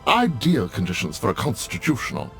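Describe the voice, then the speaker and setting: Formal manservant with swooping pitch. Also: male voice, huge pitch swings, a little fast, creaky, a bit bright, faint music behind, exaggerated voice. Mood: courteous, solemn. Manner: formal, ornate, deferential. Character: dutiful, courteous, formal male attendant.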